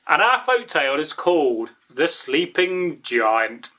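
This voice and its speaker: A man telling an old folktale as a storyteller, in a deliberate, emphatic, dramatic way that builds by repeating phrases. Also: on the high side, big pitch swings, sharp tone. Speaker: storyteller, channel host.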